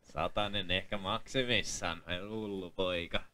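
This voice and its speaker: A man's voice: low-pitched, fairly even intonation, bright, forceful tone, a little hiss or hum. A man voicing a shrewd, bossy pawnbroker in a comic amateur dub, haggling in a blunt, teasing, exclamatory way.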